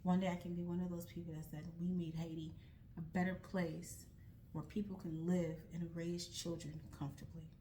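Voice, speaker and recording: A young woman from the United States talks straight to her viewers in a sincere, thoughtful way, circling back and rephrasing as she goes. Her voice sits a little low for a woman. A faint low rumble runs underneath. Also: level tone, normal speed, mellow. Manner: earnest, reflective, with frequent restarts and "like". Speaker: vlogger starting a nonprofit. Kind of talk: earnest personal talk to camera.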